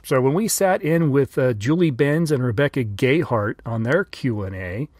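A man's voice: mid-range voice, expressive, moderate pace, darkish tone, dry recording. A man hosts a podcast, explaining things plainly between recorded segments. His voice is close and dry, with no room sound. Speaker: podcast host. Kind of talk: scripted narration linking clips.

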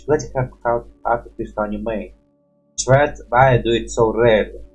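A young man talks in a slightly rough, unclear voice over faint music. He rambles through a casual reaction commentary in halting non-native English. Mild curses are scattered through his speech. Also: mid-range voice, steady tone, darkish tone, lo-fi sound. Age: young adult. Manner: habitual mild swearing. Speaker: reaction video host. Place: small room at home.

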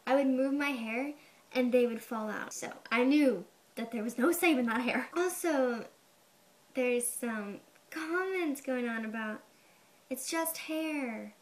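A young woman vlogging to her webcam from her bedroom, with a high, girlish voice, chatty and a bit defensive, saying "like" a lot. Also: slow. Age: young adult. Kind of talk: casual to-camera explanation. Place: bedroom, indoors. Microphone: webcam at desk.

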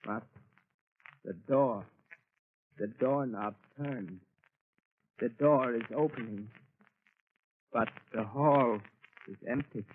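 A timid, obedient servant voiced by a man speaks softly and slowly, always deferring to his master. The sound is thin and tinny, like an old radio broadcast. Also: medium pitch, slight background noise, lightly acted. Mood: meek. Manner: meek, slow and deferential. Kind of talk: 1930s radio drama acting.

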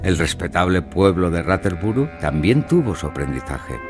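A man playing a clever, self-assured gentleman who explains and narrates in a formal, measured style for an audio drama. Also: sits low, big pitch swings, music in the background. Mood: reflective.

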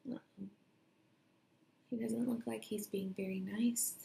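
A young woman reading a children's picture book aloud in a deliberate, expressive storytelling way, recorded in a room at home. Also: on the high side, gravelly. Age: young adult. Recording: roomy home echo.

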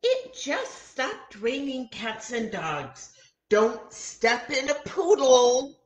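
A woman reading aloud and voicing a silly, cheerful female schoolteacher in a high sing-song voice. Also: very animated, extremely slow, dull audio, heavily acted. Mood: cheery. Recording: echoey room.

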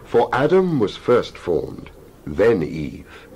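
A man giving a dramatized scripture reading as a Bible narrator, solemn and emphatic, with measured oratorical phrasing. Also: lowish voice, huge pitch swings, a little mellow, slightly rough. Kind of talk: dramatized scripture reading, King James English.

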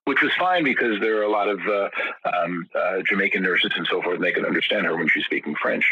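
Husky, low-voiced man telling stories. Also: animated, fast, bright, forceful tone, no room sound, lo-fi sound. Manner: rambling, many restarts and repeated words. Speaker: guest musician. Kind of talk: casual interview storytelling.

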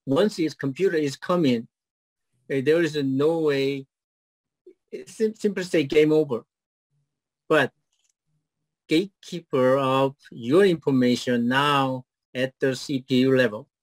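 A middle-aged man with a Korean accent, a startup founder giving a rehearsed pitch and then answering questions haltingly with many fillers over a video call. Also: no room sound, low-quality recording. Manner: hesitant, many fillers and restarts when answering. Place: home office on video call. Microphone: video call webcam.

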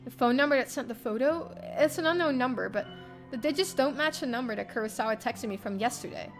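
A young woman streamer reading a visual novel aloud in the first person, expressive and theatrical. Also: fairly high pitch, fast. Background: game music.

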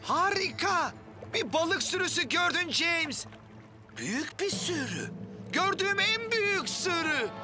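A man voicing an excitable, hearty fisherman father in a cartoon dub, given to exclamations. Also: very high-pitched, a little slow, full character voice. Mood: excited. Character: excitable, hearty adult male fisherman dad. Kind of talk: animated cartoon dub acting. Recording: music under the dialogue.

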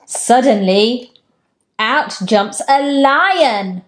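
Slow, lively woman reading a picture book. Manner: gentle, expressive storytelling with raised-pitch character quotes. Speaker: storytime host. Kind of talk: children's picture book read-aloud. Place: home room with bookshelves, indoors.

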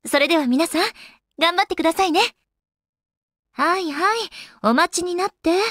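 An actress voicing an elegant, teasing young goddess in a Japanese video game, with refined, polite and slightly haughty manners. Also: female voice, very high voice, moderate pace, a bit bright, no room sound, clean studio sound. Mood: polite, composed. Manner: polite, haughty ojou-sama refinement with playful coyness.

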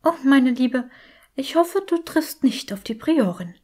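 Theatrical talking skull played by a woman, high-pitched, gossipy, gleeful, trilling, storybook fantasy. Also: animated, unhurried, darkish tone. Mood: gleefully thrilled.

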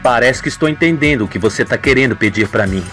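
A man's voice: mid-range voice, animated, quick pace, a bit bright, faint music behind, pitched below the performer's own voice, close to an everyday voice. A man playing a wary, knowing, streetwise drug dealer in an audio soap opera.

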